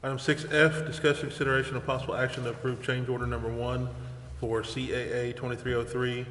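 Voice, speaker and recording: A man's voice: mid-range voice, little pitch movement, fast talker, fairly bright tone. A man chairing a council meeting, formally reading out agenda items in a procedural, official way. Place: indoor council chamber. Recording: echoey council chamber, faint noise.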